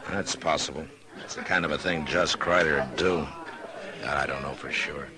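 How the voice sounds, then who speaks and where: An American actor playing a steady, plain-spoken frontier marshal in a 1950s radio western. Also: male voice, a bass voice, moderate pace, a crowd in the background. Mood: easy, guarded. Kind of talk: 1950s American radio western acting, part first-person narration.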